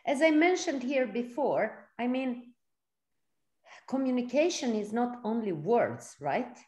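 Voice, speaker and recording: A middle-aged woman from Serbia and Sweden, teaching conversationally as a seminar facilitator, in short chunks with pauses, on a video call. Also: on the high side, expressive, warm tone. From born in Serbia, grew up in Sweden. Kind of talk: conversational teaching talk for live interpretation. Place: indoor room, video call. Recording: echoey room.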